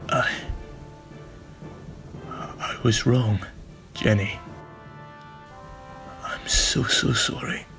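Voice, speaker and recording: Guy as a weary guilty renegade, creaky slow voice, halting, dramatic music over it. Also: mid-range voice, fairly even intonation, bright, forceful tone, full character voice. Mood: remorseful. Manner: halting, solemn. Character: remorseful, grave male Time Lord renegade, weary. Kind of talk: fan audio drama acting.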